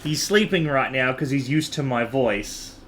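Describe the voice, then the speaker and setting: Animated, bright-voiced man livestreaming. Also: medium pitch, unhurried, slight background noise. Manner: animated, rambling, repeats himself for emphasis. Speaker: game livestreamer. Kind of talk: casual livestream chat and game commentary.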